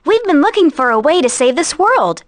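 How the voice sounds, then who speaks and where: A principled young female adventurer from a video game. Her voice is high and swings widely in melody, recorded dry and close. She speaks formally and earnestly, with a scolding, righteous edge. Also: big pitch swings, normal speed, no room sound. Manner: earnest, indignant, formal phrasing.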